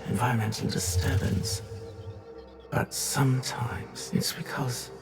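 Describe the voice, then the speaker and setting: An actor playing a calm, cryptic guide inside a memory simulation in a video game, speaking in a measured, formal, deliberate way. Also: male voice, mid-range voice, creaky. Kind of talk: scripted video game narration. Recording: music under the voice. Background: music.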